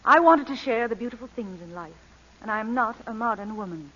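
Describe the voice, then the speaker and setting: A woman's voice: on the high side, lively intonation, a little fast, fairly bright tone. An actress playing a poised, candid and proud lady thief, speaking in an articulate, theatrical old radio style. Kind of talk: 1940s radio acting. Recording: dull vintage radio broadcast recording.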